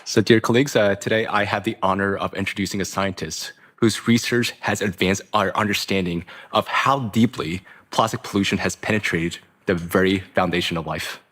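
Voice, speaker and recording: A man moderating a conference, formally introducing the next speaker in a measured way. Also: deep voice, fairly even intonation, fairly bright tone. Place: conference hall.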